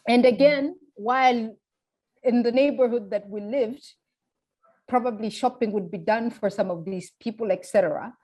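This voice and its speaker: A woman with a Tanzanian accent, speaking in a measured, deliberate, explanatory way in a reflective interview. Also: a little high, warm tone. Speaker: interviewee, feminist public intellectual. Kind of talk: reflective oral history interview.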